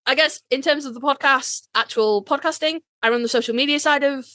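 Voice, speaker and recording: A British woman with a bright, forward voice that sits fairly high. She co-hosts in a casual, sweary, informal way, and the sound is dry with no room on it. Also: a little fast, no echo. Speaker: podcast co-host. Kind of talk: casual chat.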